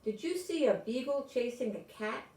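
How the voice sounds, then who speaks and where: A woman's voice: fairly high pitch, lively intonation, moderate pace, a little mellow, reverberant, pitched above the performer's own voice, natural voice. A woman voicing a shy, worried young boy from a children's storybook, with a hesitant manner. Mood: nervous, urgent. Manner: hesitant, stammering when nervous.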